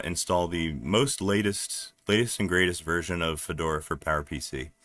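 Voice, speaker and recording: A man from New Jersey with a deep voice, chatting casually and haltingly on a live stream while he works at his computer, with lots of fillers. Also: a bit bright, no room sound. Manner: rambling, halting, many fillers, laughs often. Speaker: livestreaming developer.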